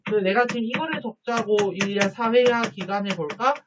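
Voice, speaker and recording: A man teaching a high school social studies lesson, chatty, with jokey asides and quick questions to his students. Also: noisy background. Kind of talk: online lecture to students. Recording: dull lo-fi recording.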